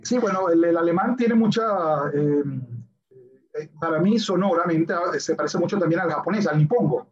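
A man from Venezuela whose first language is English, a guest telling jokey stories in a relaxed podcast chat. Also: a little high, moderate pace. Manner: playful, joking storyteller. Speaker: guest, lawyer and philosopher. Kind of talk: casual podcast conversation. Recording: dull lo-fi sound.

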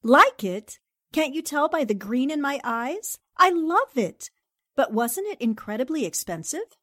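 An actress playing a smart, wisecracking young newspaperwoman in 1940s-style radio drama, very expressive. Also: high voice, a little slow, dry recording, clean studio sound, full character voice. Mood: admiring.

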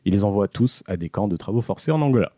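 A man's voice: lowish voice, lively intonation, fast talker, mellow, no room sound, studio quality. A man hosting a casual podcast, telling a history story with joking asides and frequent hesitations. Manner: joking, trails off with many hesitations. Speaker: podcast host presenting a history segment.

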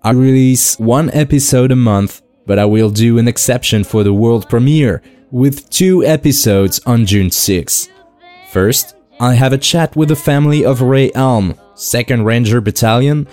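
A man's voice: medium pitch, a little slow, darkish tone, soft background music. A French man in his thirties with a French accent, hosting a podcast in a scripted, earnest and enthusiastic manner. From France. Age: 30s. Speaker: podcast host.

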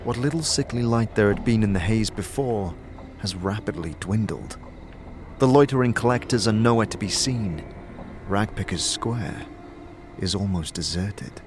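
A man narrating a dark fantasy audio drama as a solemn storyteller, in scripted second-person prose. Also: on the low side, a little hiss or hum.

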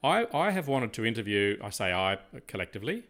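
An older Australian man co-hosting a casual podcast, talking in a halting, reflective way. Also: lowish voice, sing-song, a bit bright. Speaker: podcast co-host. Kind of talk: casual chat.

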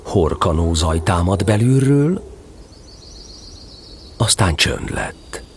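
A man narrating a children's storybook tale in an audio drama, with expressive scripted delivery. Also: low voice, slight background noise. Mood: storytelling.

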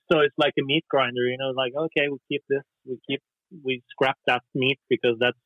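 A Norwegian musician talking casually in an interview, hesitant, with lots of fillers and restarts. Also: male voice, medium pitch, flat delivery, normal speed, dry recording. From Norway. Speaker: musician guest. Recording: dry tinny phone line.

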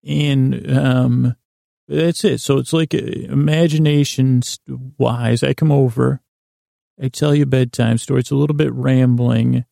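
A man hosting a bedtime podcast, giving a rambling, improvised monologue that meanders haltingly and keeps stopping and starting. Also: medium pitch, steady tone, creaky, warm tone, no echo, clean studio sound. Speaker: podcast host and bedtime storyteller.

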